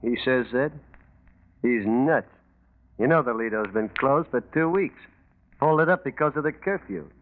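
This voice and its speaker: An actor as a slangy crook, animated and tinny. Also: male voice, medium pitch, normal speed, pauses often, slight background noise, lightly acted. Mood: brash, dismissive. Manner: slangy, evasive, blustering, stammering when pressed. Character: cornered, defiant small-time male crook under questioning. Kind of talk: 1940s radio crime drama acting. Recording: tinny, narrow-band 1940s radio recording.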